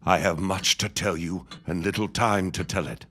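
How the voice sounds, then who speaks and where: An actor playing a grave, secretive mentor in a period audio drama, with a husky voice and solemn, portentous delivery. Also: male voice, sits low, moderate pace, fairly bright tone, a little hiss or hum. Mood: solemn, urgent. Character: grave, secretive mentor summoning a young friend.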